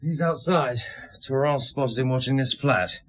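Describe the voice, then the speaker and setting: Husky, sharp police sidekick. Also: male voice, mid-range voice, measured pace, dull audio. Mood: wary, reporting. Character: brisk, dutiful male police subordinate. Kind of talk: radio drama dialogue.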